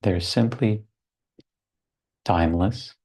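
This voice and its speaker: A man leading a guided meditation as a teacher, speaking contemplatively in short phrases with long pauses between them. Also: fairly low pitch, darkish tone, breathy edge.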